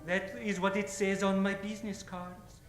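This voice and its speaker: Man doing a high-voiced, prissy slippery pawnbroker. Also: little pitch movement, normal speed, close to an everyday voice. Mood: smugly evasive. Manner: affected, formal, mannered phrasing. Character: slippery, prissy male pawnbroker, evasive and smugly polite. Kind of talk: old-time radio drama acting. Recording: roomy sound, faint music behind.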